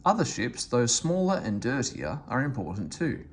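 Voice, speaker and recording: Animated man reading a children's story. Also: medium pitch, moderate pace, a little hiss or hum. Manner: expressive, animated delivery. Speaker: storybook reader. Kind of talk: read-aloud children's story narration.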